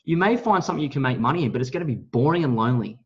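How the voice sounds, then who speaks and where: A man giving a motivational business pitch, speaking in an emphatic, blunt, salesy way with some mild swearing. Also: animated, rapid-fire. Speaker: network marketing leader, guest speaker on a team call. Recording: dull, lo-fi call audio.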